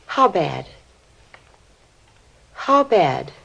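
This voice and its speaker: An actress playing a distraught, disbelieving middle-aged American mother in an old radio crime drama, with a breathy, fragile voice. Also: lowish voice, sing-song, drawn-out words, a bit bright, highs cut off, full character voice. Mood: stunned disbelief. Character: distraught, grieving mother of a teenage boy, disbelieving.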